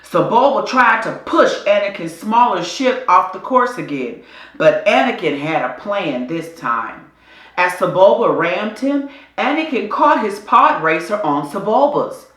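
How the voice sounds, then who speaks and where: Woman, storybook narrator, bright voice, highly animated pitch, dramatic scripted delivery, echoey room. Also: measured pace. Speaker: storybook reader.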